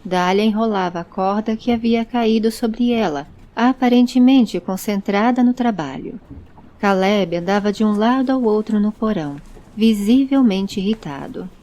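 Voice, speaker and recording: A woman's voice: mid-range voice, moderate pace, mellow. A woman reading scripted narration as the narrator and presenter of an audio story.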